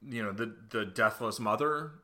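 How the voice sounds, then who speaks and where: A man's voice: lowish voice, normal speed, sharp tone. A man, talking casually as a co-host on a TV discussion podcast.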